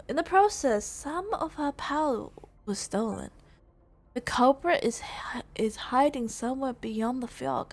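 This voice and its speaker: Higher-voiced woman streaming a game. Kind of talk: game commentary and reading lines aloud.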